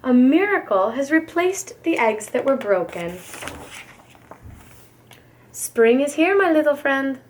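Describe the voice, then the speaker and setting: A kindly, elderly Russian babushka from a picture book, acted out by a woman reader. She speaks in a fairly high voice, fondly and with open exclamation, as if to a small beloved pet. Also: sing-song, slow pace, pauses often, slight background noise, full character voice. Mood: gladly tender. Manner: affectionate, exclamatory, sprinkles in Russian words. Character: kind, tender old Russian grandmother tending a goose.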